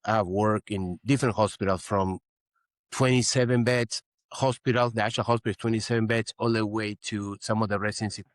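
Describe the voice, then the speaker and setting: A middle-aged man from Peru speaks in a low voice, explaining his work earnestly and patiently. The recording is dry and close, with no room sound. He leans on "you know" as he talks. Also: steady tone, fairly quick, no room sound. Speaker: guest physician. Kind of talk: interview conversation.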